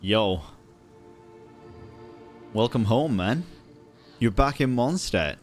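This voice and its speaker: Young guy reacting to a game, swears a lot, drawn-out animated talk, soft music behind. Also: medium pitch. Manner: excitable, swearing, laughing. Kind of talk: casual reaction commentary.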